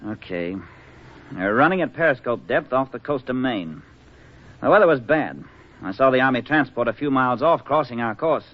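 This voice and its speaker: A man's voice: medium pitch, expressive, moderate pace, lightly acted. A man acting a bitter, defiant navy diver. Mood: grim, resigned. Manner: curt, resentful. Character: bitter, defiant navy diver, disgraced former submarine commander. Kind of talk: 1940s radio drama acting. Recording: dull lo-fi 1940s radio recording.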